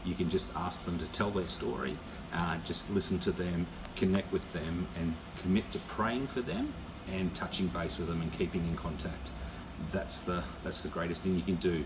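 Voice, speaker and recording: A man with an Australian accent speaking unscripted as a visiting pastor, in a halting, plain, reassuring way to a church. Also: low voice, noisy background. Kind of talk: unscripted encouragement to a church congregation.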